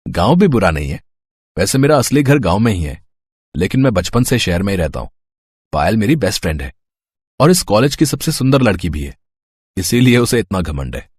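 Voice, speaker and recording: A man's voice: on the low side, big pitch swings, brisk, pauses often, darkish tone, slightly rough, no echo. A man playing an easygoing, kindly young city student in a story, reassuring and casual.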